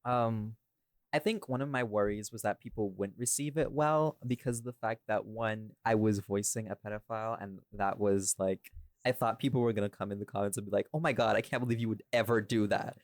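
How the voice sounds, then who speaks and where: A man's voice: fairly low pitch, steady tone, a little fast, dry recording. A man in a casual podcast chat, talking in a rambling, irreverent way and swearing freely as he explains himself. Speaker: podcast host and voice actor.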